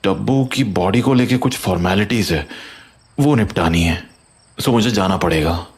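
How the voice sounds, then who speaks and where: A man playing a concerned, supportive husband in a horror audio drama, deliberate, with an Indian accent and English phrases mixed in. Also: low voice, slightly rough. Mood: businesslike. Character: concerned supportive husband, skeptical but trusting.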